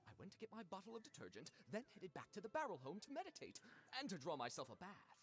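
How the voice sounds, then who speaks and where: A woman voicing a vain, melodramatic male artist in a video game, with a pompous, theatrical, husky delivery. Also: lowish voice, huge pitch swings, fast. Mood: flustered boasting. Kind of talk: game voice acting.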